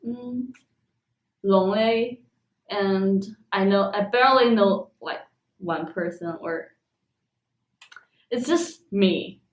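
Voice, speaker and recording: A young woman from China, telling her story haltingly as an international student and often searching for words. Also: mid-range voice, muffled recording. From Xinjiang, China. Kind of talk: documentary interview. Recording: echoey room.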